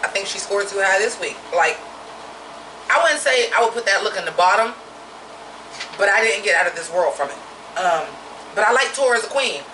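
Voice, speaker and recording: A woman with a naturally deep voice giving a blunt, profane, opinionated drag review in a casual vlog. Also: animated, moderate pace, long pauses, sharp tone, echoey room, distant mic, slight background noise, low-quality recording.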